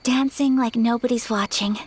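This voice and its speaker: A woman voicing a refined, earnest young noblewoman in an anime game, speaking politely and tenderly in a measured way. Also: a little high, steady tone, darkish tone, slight background noise, natural voice. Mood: soft, bashful. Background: background music.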